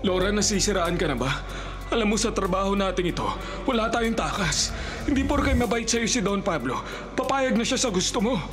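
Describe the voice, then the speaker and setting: An anxious, pragmatic young male accomplice in a radio drama. He pleads and warns in a high, urgent voice, with dramatic music underneath. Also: lively intonation, brisk. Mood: warning, persuading. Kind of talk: Filipino radio drama acting.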